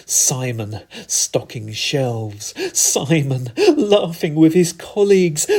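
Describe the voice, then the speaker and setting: A man performing narrative poetry in a theatrical, deliberate manner with dramatic pauses and emphatic repetition. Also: high voice, darkish tone. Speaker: spoken-word poet.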